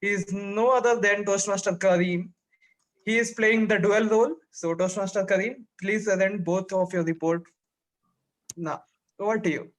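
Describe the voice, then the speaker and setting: A man with a high voice leads an online meeting over a video call. He speaks politely, with formal, careful phrasing. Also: steady tone, normal speed, rough recording. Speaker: meeting toastmaster and general evaluator.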